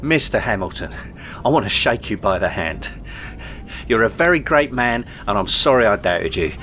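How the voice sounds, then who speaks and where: A man playing an earnest, apologetic investor, effusive and excitable but hesitant in his wording. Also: fairly low pitch, moderate pace, a little hiss or hum.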